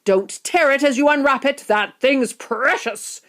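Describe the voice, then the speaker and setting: A woman voicing a big, kindly, excitable male shopkeeper in a storybook, hearty and exclamatory. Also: high-pitched, measured pace, voice pushed up. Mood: thrilled, shouting.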